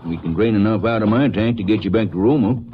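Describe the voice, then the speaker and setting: Actor as a folksy, low-voiced old constable. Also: male voice, fast talker, natural voice. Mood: easygoing. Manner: plain, folksy country talk. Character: folksy, stubborn older small-town Texas constable. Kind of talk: old radio crime drama acting. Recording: muffled, noisy old radio recording.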